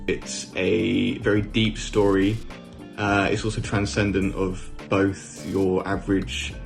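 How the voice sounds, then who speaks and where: A man answers formally in an interview with a creaky, low voice. He speaks slowly and flatly over music.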